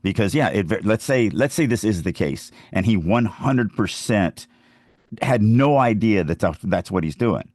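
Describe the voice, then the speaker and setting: A man's voice: on the low side, lively intonation, normal speed, darkish tone, no echo. A man hosting a podcast and teaching about writing in casual, emphatic, insistent talk. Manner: emphatic, insistent, stresses key words.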